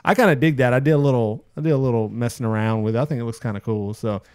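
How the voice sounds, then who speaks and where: A man's voice: medium pitch, very fast, a little mellow, clear, resonant tone, no room sound. A man hosts a casual podcast with an upbeat, chatty delivery and a mid-range voice. The sound is close and dry, with no room around it. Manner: upbeat, reading out listener posts. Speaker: podcast host.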